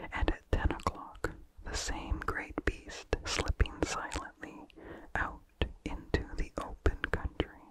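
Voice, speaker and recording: A person reading a vintage horror story as ASMR in an unvoiced whisper, slowly and deliberately, in short phrases with pauses. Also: low-quality recording. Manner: slow, deliberate, pausing between short phrases. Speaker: narrator.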